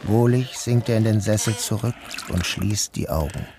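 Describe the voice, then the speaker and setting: A man's voice: fairly low pitch, moderate pace. A man narrating an audio drama in the first person, as its protagonist. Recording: noticeable background noise.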